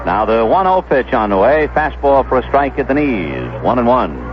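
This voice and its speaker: A man's voice: mid-range voice, sing-song, moderate pace, lo-fi sound, over music. A man calling baseball play-by-play as a radio announcer, on an old 1970s broadcast. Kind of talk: radio sports commentary.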